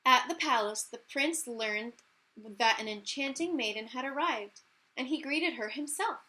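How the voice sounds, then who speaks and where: A woman reading a children's story aloud as a teacher, in an animated, emphatic way with key words drawn out. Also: sits high, measured pace, poor audio. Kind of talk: expressive children's read-aloud narration.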